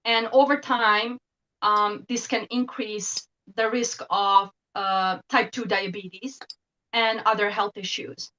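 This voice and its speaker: Bright-voiced woman, guest on a video call. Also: medium pitch, fairly even intonation, takes it slowly, lo-fi sound. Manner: thoughtful, hesitant with frequent ums, laughs lightly. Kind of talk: casual interview talk.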